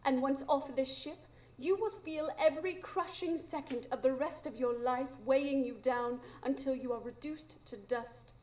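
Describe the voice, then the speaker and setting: A woman's voice: sits high, normal speed, a little mellow, close to an everyday voice. An actress playing a resolute young woman who captains a ship in a live radio drama, solemn and commanding. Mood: stern command.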